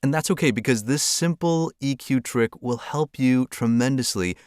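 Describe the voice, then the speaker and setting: A man with a deeper voice explains things calmly and clearly to camera, like a how-to lesson for creators. His voice is mid-pitched and sounds dry and close, with no room around it. He talks through a clip-on lapel mic. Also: normal speed, no echo. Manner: calm, clear explanatory delivery. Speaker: content creator giving tips. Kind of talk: instructional talk to camera. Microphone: clip-on lavalier mic.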